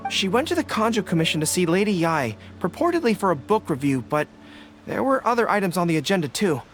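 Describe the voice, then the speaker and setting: A woman's voice: fairly low pitch, sing-song, quick pace. A woman voicing an earnest, polite young official in a video game, speaking a little hesitantly. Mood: earnest, explaining. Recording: loud music behind the voice.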